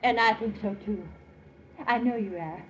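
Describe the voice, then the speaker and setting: A woman's voice: fairly high pitch, normal speed, lightly acted. A woman playing a seductive, devoted French wartime spy with a French accent, earnest and dramatic. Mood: pleading, passionate. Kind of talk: 1940s-50s radio melodrama acting. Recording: muffled, noisy old radio recording.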